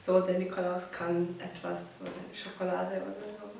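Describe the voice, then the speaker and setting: A woman's voice: fairly low pitch, little pitch movement, fairly quick, gravelly, darkish tone, slight background noise. A young woman from Hungary with a Hungarian accent, a native Hungarian speaker chatting in German, often searching for words and laughing. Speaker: host. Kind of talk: casual chat. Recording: echoey room, mic at a distance.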